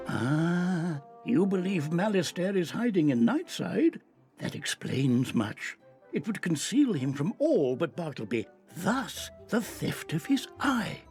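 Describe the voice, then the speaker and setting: An actor playing a kindly, slightly fussy elderly wizard headmaster in a video game, creaky and dramatic. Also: male voice, a little high, darkish tone, exaggerated voice. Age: older. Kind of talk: video game character acting.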